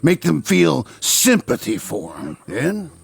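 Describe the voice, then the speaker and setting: Greedy western con man, heavy gravelly creak, swooping pitch, wheedling, slow, radio drama. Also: wildly swooping pitch, full character voice. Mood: sly. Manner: wheedling, insinuating. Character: sly, greedy male frontier peddler, a smooth-talking swindler.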